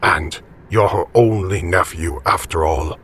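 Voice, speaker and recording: A man voicing a jovial, teasing elderly grandfather from the country in an audiobook story, with a raspy, folksy delivery. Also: sits low, a little slow, exaggerated voice. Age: older. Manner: animated, folksy, encouraging.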